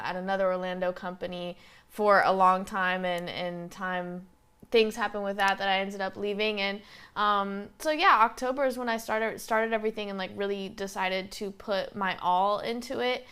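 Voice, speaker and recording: A young woman with a slight Southern twang hosts her podcast, rambling through it with lots of fillers. Her voice is smooth and clean, and her pitch sits in the middle range. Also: steady tone, normal speed, fairly bright tone. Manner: chatty, rambling, many fillers. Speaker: podcast host. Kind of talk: casual solo podcast monologue.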